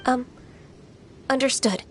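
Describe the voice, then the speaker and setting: A woman playing a gentle, modest young half-divine adept in a video game, speaking politely and with earnest hesitation. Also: takes it slowly, a little hiss or hum, lightly acted. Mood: respectful.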